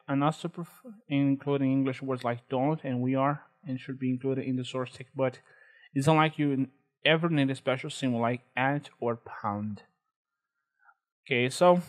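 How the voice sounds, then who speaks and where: A young man whose first language is Portuguese, with a Brazilian accent, walking viewers through a website in a relaxed, hesitant way with many fillers and restarts. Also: mid-range voice. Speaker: tutorial host. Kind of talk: screen-recorded walkthrough.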